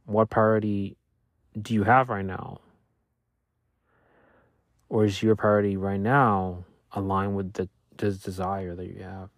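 A man in his thirties, a native Spanish speaker, reflects on his week in a relaxed monologue. His voice sits low, and he often pauses and restarts as he thinks. The recording is close and dry, with no room sound. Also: dry recording. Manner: thoughtful, halting, restarts often. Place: Louisiana.

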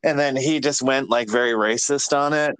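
An outspoken man chatting as a podcast guest, blunt and freely swearing as he tells his stories. He has a voice of ordinary pitch. The recording is dry and close, with no room around it. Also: normal speed, a bit bright, clear, resonant tone, no room sound. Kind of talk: casual chat and storytelling.

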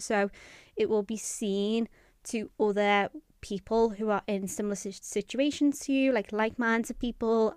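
Smooth-voiced woman podcast host. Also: mid-range voice, no room sound, clean studio sound. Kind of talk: podcast intro and interview.

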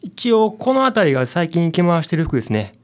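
A man's voice: fairly high pitch, big pitch swings, fairly quick. A man playing an easygoing, slightly puzzled young client with a Kansai accent, in conversational radio drama style. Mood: polite.

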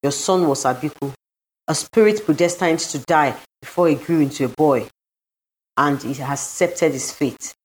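A woman voicing a solemn, merciful deity of the deep ocean with stately, formal delivery in a story. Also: moderate pace, a little mellow, natural voice, rough recording. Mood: reassuring.

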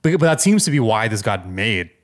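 A man's voice: on the low side, very animated, fairly quick. A man co-hosting a podcast, chatting casually and weighing his opinions tentatively. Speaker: podcast co-host. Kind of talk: casual chat.

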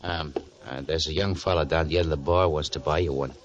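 An actor playing a gruff, easygoing male bartender, laconic and slangy, in 1950s radio drama style. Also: very deep voice, steady tone, brisk. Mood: offhand, coaxing. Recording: dull, noisy old radio recording.

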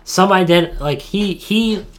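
A man's voice: sits high, slow, fairly bright tone. A man co-hosting a podcast in casual pop-culture chat, slangy and opinionated in how he talks. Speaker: podcast co-host.

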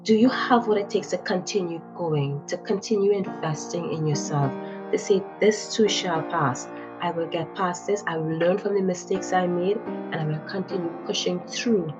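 Podcast guest: woman from Trinidad, lowish voice, chatty and reflective, dull lo-fi sound. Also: moderate pace, music in the background. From Trinidad and Tobago. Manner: reflective, chatty, frequent "you know" fillers. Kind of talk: conversational interview answers.

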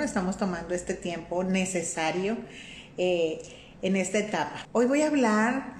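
Mexican woman chatting on her live stream, warm and easygoing, keeps asking "right?", echoey room with a little hiss. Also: mid-range voice. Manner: warm and conversational, greets viewers by name, often asks "¿verdad?". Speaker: host of a faith-based live stream. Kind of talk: casual live-stream talk on faith and life. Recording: roomy echo with faint room noise.

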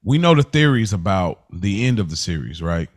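Low-voiced American man hosting a casual discussion. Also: very animated, normal speed. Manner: informal, poses questions to co-hosts. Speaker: podcast host.

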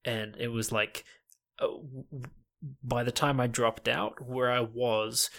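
A man's voice: medium pitch, steady tone, slow, gravelly, fairly bright tone. A man from New Zealand, a guest chatting casually about himself, laughing often and restarting his sentences. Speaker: podcast guest, online gamer. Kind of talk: casual chat.

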